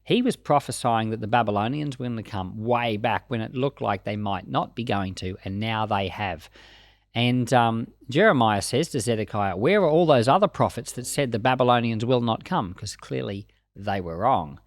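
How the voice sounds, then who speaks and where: A man's voice: mid-range voice, normal speed, dry recording. A man reading and explaining scripture as a Bible teacher, in a plain-spoken, explanatory way. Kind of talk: scripture reading and informal commentary.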